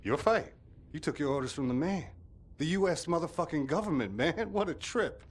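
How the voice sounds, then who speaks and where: A man's voice: a little high, lively intonation, normal speed. An actor playing a bitter, swaggering rebel in a video game, slangy and mocking as he drawls. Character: bitter, swaggering male American anti-war rebel.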